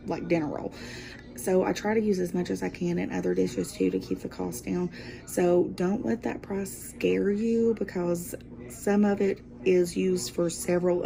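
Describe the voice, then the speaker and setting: A woman's voice: on the low side, creaky, dark tone. A woman talking casually as a guest vlogger, rambling and upbeat with a self-deprecating manner. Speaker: guest vlogger taking over a sister's channel. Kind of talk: casual chatty vlog talk.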